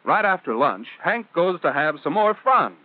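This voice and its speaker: A man's voice: fairly high pitch, sing-song, normal speed, no room sound. An American man narrating a 1950s instructional film, speaking in simple, conversational phrases as if directly to a child. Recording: muffled telephone-like old film soundtrack.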